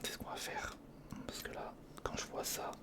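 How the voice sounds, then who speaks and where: A man whispering informal ASMR commentary close to the microphone, murmuring step by step to himself and the viewer as he works. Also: moderate pace. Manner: murmured, informal running self-talk addressed to the viewer. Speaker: ASMR video creator. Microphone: close recorder microphone.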